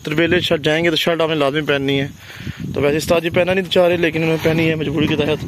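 A man's voice: on the high side, little pitch movement, fast. A man casually narrating a night fishing camp vlog, showing the camera around as the group cooks outdoors. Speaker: fishing vlogger. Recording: outdoor phone recording with clear background noise.

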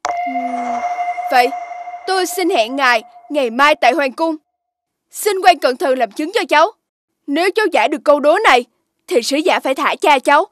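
A woman voicing a clever, brave young child in an animated fairy tale, speaking respectfully and earnestly. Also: high-pitched, normal speed, no echo. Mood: earnest, determined.

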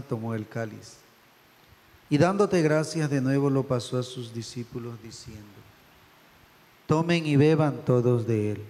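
Middle-aged priest praying in a reverberant church. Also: male voice, mid-range voice, warm tone. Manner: reverent, measured phrasing. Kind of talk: solemn liturgical prayer recitation. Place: church altar, indoors. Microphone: stand microphone at the altar.

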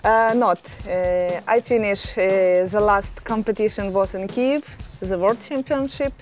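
A woman in her thirties from Estonia, speaking careful, halting as an interview guest outdoors on a terrace. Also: medium pitch, faint music behind. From Estonia, born in Ukraine. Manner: halting, careful non-native English with many pauses. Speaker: interview guest, fitness champion. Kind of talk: casual interview answers. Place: outdoor terrace, hillside view.

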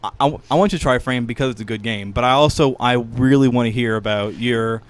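Male podcast co-host, medium pitch, relaxed conversational register, studio mic. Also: brisk, a little hiss or hum. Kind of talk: casual chat. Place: small podcast studio room. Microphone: close studio mic with headphones.

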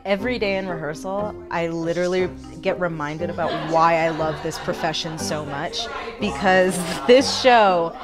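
Woman, stage performer, lower-middle register, enthusiastic interview read over music. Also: normal speed. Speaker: stage actor. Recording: music behind the voice.

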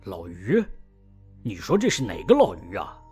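A man voicing a worried middle-aged uncle in an audio drama who speaks in a grave, measured way. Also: low-pitched, wildly swooping pitch, vocal fry, mellow, exaggerated voice. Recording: music bed behind.

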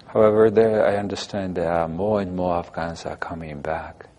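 A man's voice: low voice, fairly even intonation, normal speed, mellow, a little hiss or hum. A man in his forties hosting a studio TV interview, asking short questions and follow-ups in English. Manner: brief prompts and follow-up questions. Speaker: TV talk show host. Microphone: lapel.

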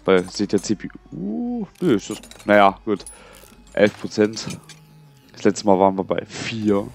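A man's voice: fairly low pitch, very animated, a little slow, gravelly, husky. Man with a cold, chatty gamer. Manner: chatty, rambling, muttering to himself while playing. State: has a cold. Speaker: gaming channel host. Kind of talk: casual let's play commentary.

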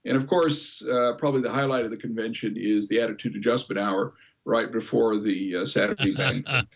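An American man in his sixties answering as an interview guest over a video call, informally explaining things in a measured, hesitant way. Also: on the low side. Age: 60s. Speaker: guest, convention co-chair. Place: indoor home office. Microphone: computer video call.

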